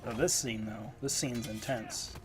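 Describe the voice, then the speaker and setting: A man's voice: medium pitch, steady tone, a little slow. Congested guy rambling a game review. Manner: rambling, lots of fillers. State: stuffed up, congested. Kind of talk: casual voice-over review. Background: game footage audio and music.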